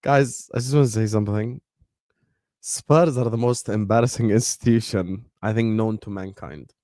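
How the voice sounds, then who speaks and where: A man's voice: fairly low pitch, fairly even intonation, normal speed, warm tone, no echo, studio quality. Teasing man hosting a chat. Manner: teasing banter, laughs often. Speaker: podcast host. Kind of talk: casual football chat.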